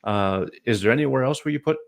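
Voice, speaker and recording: A man's voice: medium pitch, quick pace, vocal fry. A man with a Canadian accent hosting a podcast, chatting casually in a friendly, rambling way with many restarts. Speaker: podcast host.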